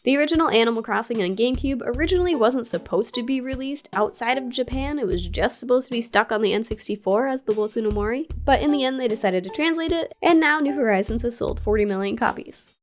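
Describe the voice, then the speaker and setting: A woman's voice: a little high, fast, hardly any pauses. A woman narrating a scripted gaming video essay in a curious, playful way, with casual asides. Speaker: gaming YouTuber.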